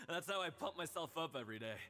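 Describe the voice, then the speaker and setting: A man's voice: fairly high pitch, pushed and bright, full character voice. An actor playing a hearty, upbeat young man in a video game, emphatic and encouraging. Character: hearty, upbeat young man, booming pep-talk enthusiast. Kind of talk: video game character acting.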